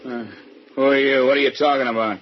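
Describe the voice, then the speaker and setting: A man's voice: mid-range voice, fairly even intonation, fast talker, natural voice. Guy doing stubborn wary gangster goon, old radio play, muffled and hissy. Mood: suspicious. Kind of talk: 1940s radio drama acting. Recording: muffled, noisy vintage radio recording.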